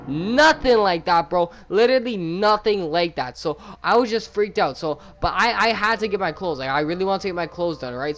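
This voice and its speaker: Young guy telling a slangy story over music. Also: high voice. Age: young adult. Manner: slangy, animated retelling with frequent "bro" and "man". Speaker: gaming video host. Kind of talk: casual storytime over gameplay. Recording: music under the voice.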